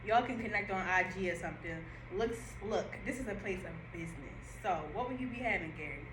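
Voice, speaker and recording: A woman's voice: mid-range voice, fast talker, echoey room, background noise, pitched below the performer's own voice, lightly acted. A woman playing a fed-up, sassy shop worker, blunt and impatient, in an audio play read-through.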